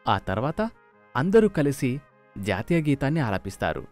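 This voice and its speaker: A man narrating a scripted moral story in an expressive storytelling manner. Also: medium pitch, moderate pace. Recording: music under the voice.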